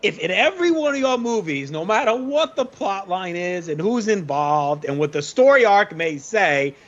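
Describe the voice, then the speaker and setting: A man playing an excitable, slangy wrestling promoter in a comedic audio play, speaking in emphatic, halting bursts over slightly dull audio. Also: high-pitched. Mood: musing, eager.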